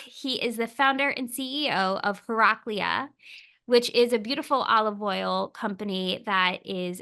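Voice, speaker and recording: A woman's voice: fairly high pitch, bright, forceful tone. A woman hosting a podcast interview, speaking in an enthusiastic, upbeat way. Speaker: podcast host.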